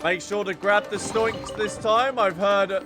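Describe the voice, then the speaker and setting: A man's voice: high-pitched, fairly even intonation, unhurried. A man doing live game commentary as a streamer, playful and exclamatory, often repeating little catchphrases. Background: loud game music.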